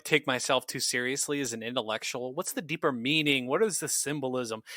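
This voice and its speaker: A man's voice: a little high, pushed and bright, dry recording, studio quality. A man co-hosting a podcast in casual, enthusiastic review chat, speaking in an earnest, effusive way. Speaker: podcast co-host.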